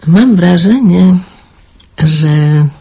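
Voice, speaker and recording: A woman's voice: on the low side, animated, extremely slow, creaky, mellow, husky, dull audio, full character voice. An actress in a radio drama playing an anxious older mother, fretful and sighing as she speaks. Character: anxious older mother fretting over her daughter. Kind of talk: 1990s radio drama acting.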